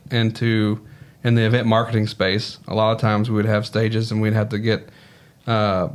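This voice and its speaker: A man with a Southern US accent, a podcast guest talking in an easygoing, rambling way. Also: on the low side. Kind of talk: casual podcast conversation.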